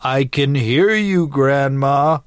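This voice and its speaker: A man's voice: medium pitch, animated, measured pace, a little mellow, dry recording, clean studio sound, voice pushed up, lightly acted. A man voicing a polite, patient grown grandson in a story, courteous and a little exasperated. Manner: courteous, restrained.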